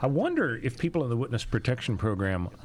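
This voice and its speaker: A middle-aged American man hosting a talk radio show, bantering with wry, halting delivery. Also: mid-range voice, wildly swooping pitch, darkish tone.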